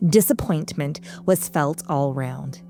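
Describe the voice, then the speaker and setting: A woman hosting and narrating a true-crime podcast from a script, with measured, dramatic storyteller phrasing. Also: deep voice, warm tone, faint music behind.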